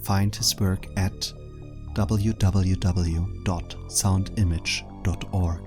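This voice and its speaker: A man's voice: low voice, steady tone, takes it slowly. A man reading scripted credits as an announcer. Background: music.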